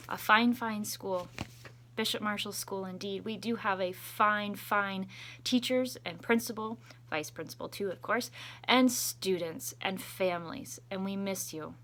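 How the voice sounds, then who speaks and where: A woman's voice: medium pitch, unhurried, fairly bright tone. A woman reading a children's picture book aloud expressively, adding chatty asides as a school storytime reader.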